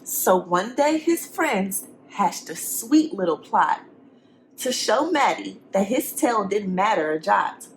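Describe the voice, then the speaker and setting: A woman's voice: medium pitch, lively intonation, takes it slowly, slight background noise, rough recording. A woman teacher reading a storybook aloud to young students, expressively, with simple encouraging questions and asides for the children.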